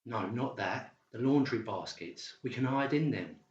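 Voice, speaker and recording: A man's voice: mid-range voice, expressive, unhurried, close to an everyday voice. A man playing a desperate psychiatric patient plotting escape, urgent and insistent, in a dramatised audiobook. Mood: urgent, determined. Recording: roomy, slightly dull sound.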